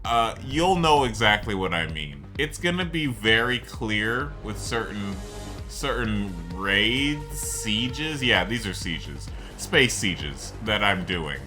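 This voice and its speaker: A man's voice: on the low side, big pitch swings, slow. A man giving casual, rambling video game commentary, drifting off into asides. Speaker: gameplay commentator. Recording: music behind the voice. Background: game music.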